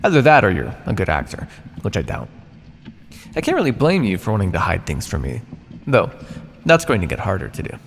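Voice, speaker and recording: An ancient vampire captor played by a man taunts his prisoner in an ASMR roleplay, smug and patronising. His pitch swings very widely and drops into a gravelly creak. He leaves theatrical pauses, and the voice rings with long reverb. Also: wildly swooping pitch, full character voice. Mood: smug taunting. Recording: long reverb, noisy background.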